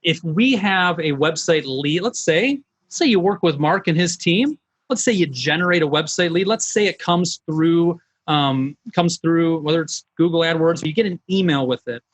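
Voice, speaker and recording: A man's voice: a little high, moderate pace. A middle-aged man, a business coach, presenting online in an informal, folksy, conversational way.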